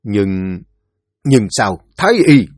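A man's voice: mid-range voice, very animated, brisk, darkish tone, husky, dry recording, clean studio sound. A man voicing a stern older official who barks orders impatiently in a storybook reading. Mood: alarmed. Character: stern older male mandarin official, commanding.

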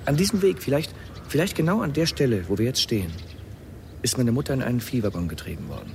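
An actor playing an earnest, intense astronomer in a German radio drama, speaking emphatically and reflectively. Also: male voice, mid-range voice, very animated, quick pace, gravelly, noisy background. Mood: moved, reflective. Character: Max, earnest astronomer man, brooding and intense.

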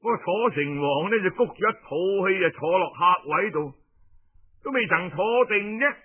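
A man tells historical tales as a traditional oral storyteller, colloquial and theatrical, drawing out his phrase endings with pauses. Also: high-pitched, lively intonation, breathy edge, narrow, tinny audio.

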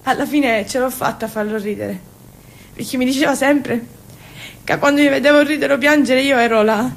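A woman's voice: high-pitched, normal speed, a little hiss or hum. An actress playing a naive, devoted Sicilian woman in an emotional stage monologue, with a Sicilian accent and a repetitive, childlike delivery. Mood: fond, amused. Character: naive, devoted Sicilian woman, fiercely attached to her cousin.

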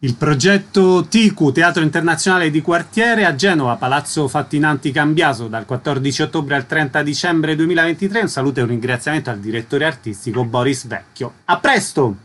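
A man's voice: fairly high pitch, fairly quick, few pauses, a bit bright. A man hosting a radio interview, putting concise questions and reading out announcements.

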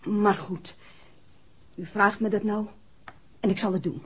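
A woman's voice: medium pitch, steady tone, unhurried, breathy edge. An actress playing an anxious but determined mother in a 1960s Dutch radio drama, earnest and deferential. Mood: anxious, resolute. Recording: dull, muffled vintage radio drama recording.

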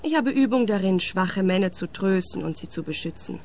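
A woman's voice: medium pitch, animated, fairly quick, a little mellow, faint music behind, lightly acted. A young woman playing a cheeky squatter. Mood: teasing. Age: young adult.

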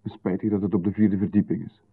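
An actor playing an intrusive, oddly demanding male visitor, calm and coolly insistent. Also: low voice, fast, husky. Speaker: radio drama actor. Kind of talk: 1990s radio play acting. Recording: tinny narrow-band radio sound.

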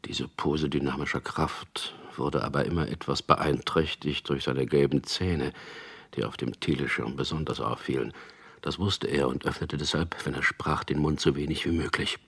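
An actor playing a wry, weary propaganda copywriter in a German radio drama, speaking in a dry, ironic way. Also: male voice, extremely low pitch, fairly even intonation, moderate pace, a bit bright, heavily acted. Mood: wry, resigned. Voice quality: husky, gravelly. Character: wry, weary male propaganda copywriter, narrating his story. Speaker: lead actor and first-person narrator.

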